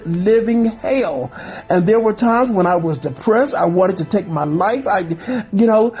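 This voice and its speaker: A woman's voice: mid-range voice, darkish tone, husky. A woman in her thirties giving an earnest, preachy pep talk, often repeating her phrases for emphasis. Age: 30s. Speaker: spiritual group team member. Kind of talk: encouraging monologue.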